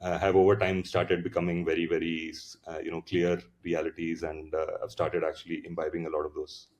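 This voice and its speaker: Low-voiced Indian man, reflective and halting. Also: level tone, darkish tone. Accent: Indian English. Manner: reflective, many fillers and restarts. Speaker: founder, podcast guest. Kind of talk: casual interview storytelling.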